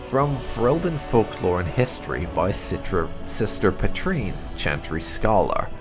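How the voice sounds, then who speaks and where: A man reading fantasy game lore aloud as scripted narration, in a measured, storybook-like delivery. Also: lowish voice, big pitch swings, over music.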